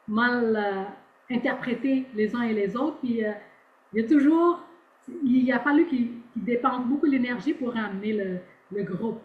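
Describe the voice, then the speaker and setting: A woman, speaking as a guest over a video call in a halting way with many restarts. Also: fairly high pitch. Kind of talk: casual podcast interview. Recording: tinny echoey call audio.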